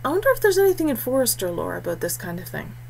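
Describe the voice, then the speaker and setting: A woman voicing a calm, practical young male warrior who reasons matter-of-factly in audiobook dialogue. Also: on the high side, racing. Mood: reasoning. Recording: clearly noisy background hum.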